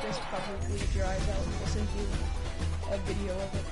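A woman's voice: mid-range voice, completely flat delivery, normal speed. A woman chatting casually while playing a game, rambling with lots of fillers. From Germany. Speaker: gaming streamer. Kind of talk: casual gaming chat. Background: loud game music.

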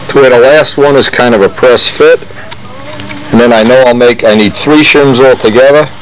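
A man's voice: medium pitch, a little hiss or hum, poor audio. An older man, a model airplane builder, talks casually while working at his hobby workshop bench, often breaking off mid-sentence and correcting himself.

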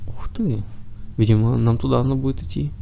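A man's voice: medium pitch, fairly even intonation, brisk, vocal fry, dark tone, a little hiss or hum. A man with a cold giving casual running commentary while playing a game, chatting to himself and to the enemies on screen. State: has a cold. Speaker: let's play gamer.

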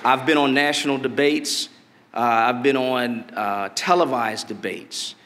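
A middle-aged man from Detroit, a political candidate delivering a campaign speech at a podium in a convention hall, deliberate and measured with emphatic rises. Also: mid-range voice, a crowd in the background, noisy background. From Detroit, Michigan. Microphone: podium mic. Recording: long hall reverb.

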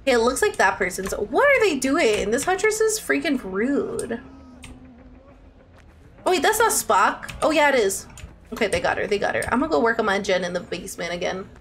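A young woman streams a game in a very high voice that swings widely in pitch. She talks playfully to her chat in a dramatic, gushing way, with some background noise behind her. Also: moderate pace. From Ontario, Canada. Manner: animated and playful, with dramatic outbursts and gushing endearments. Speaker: live streamer. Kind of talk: chatty game livestream commentary, reading out viewer chat. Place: indoor room at a streaming setup.

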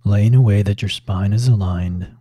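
A man with a very soft, dark, relaxed voice on the low side guides a meditation. He speaks calmly and deliberately, leaving space between short instructional phrases. The recording is close and dry. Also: husky, dry recording. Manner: calm, measured, with long pauses between short phrases. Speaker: yoga teacher leading guided meditation.

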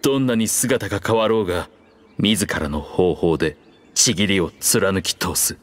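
An actor playing an ancient, proud dragon king in human form, speaking gravely and formally in a measured way for a game. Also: sits low. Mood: solemn resolve. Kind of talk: Japanese game voice acting, archaic dramatic dialogue.

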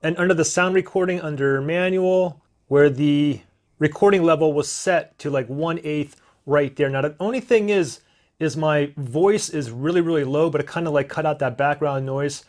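A man in his thirties talking through a camera microphone test step by step, in a relaxed way with fillers, recorded indoors on a camera-mounted shotgun mic. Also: fairly high pitch, moderate pace. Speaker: gear reviewer. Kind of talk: casual how-to walkthrough. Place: indoor room, desk demo.

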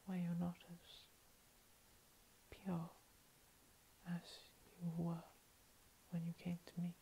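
A woman voicing a playful, menacing vampire cat-girl princess in a soft, breathy ASMR whisper, teasing and condescending. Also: fairly low pitch, low-quality recording. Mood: playful, possessive. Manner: slow, teasing, condescending, with lingering pauses. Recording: steady hiss.

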